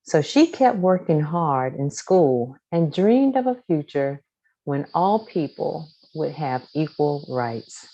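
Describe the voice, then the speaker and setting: A woman reading a children's story aloud from a script, with deliberate, expressive phrasing. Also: low voice, muffled recording. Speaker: storybook reader.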